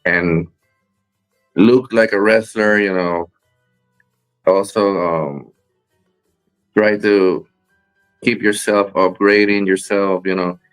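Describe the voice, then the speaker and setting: A man from Rico, a guest wrestler chatting in an interview, halting with many fillers and restarts. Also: lowish voice, fairly even intonation, soft background music. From Puerto Rico. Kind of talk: casual interview chat.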